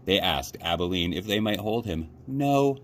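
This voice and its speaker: A man reading a children's story aloud as an expressive narrator, like a librarian at story time. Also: low-pitched, moderate pace, a little hiss or hum.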